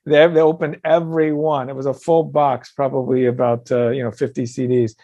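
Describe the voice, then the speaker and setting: A man's voice: mid-range voice, flat delivery, brisk, warm tone, no echo, dull audio. A man hosting a conversational interview show, affirming his guest and feeling his way through his phrasing with some hesitation.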